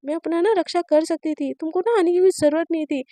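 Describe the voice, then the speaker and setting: A woman voicing a hot-tempered young woman in a spoken story, scolding with sharp sarcasm. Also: very high voice, steady tone, quick pace, no room sound.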